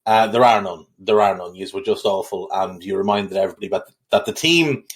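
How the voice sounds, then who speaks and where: A man's voice: sits low, fast. A man hosting a football podcast, talking in a blunt, opinionated way with frequent restarts. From Ireland. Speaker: podcast host. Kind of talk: casual football podcast chat.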